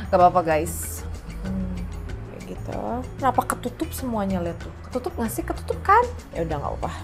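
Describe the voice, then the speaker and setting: A woman's voice: mid-range voice, big pitch swings, normal speed. A young woman with a blocked, nasal voice from a bad cold walks through her makeup routine in a loose, chatty way, tossing in casual jokes at her own expense. She talks close to the camera in a small room at home, with faint music running underneath. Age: young adult. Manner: chatty, casual, self-deprecating asides. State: stuffy nose from a heavy cold. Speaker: beauty vlogger. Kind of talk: makeup tutorial talk. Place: small indoor room at home, rain outside.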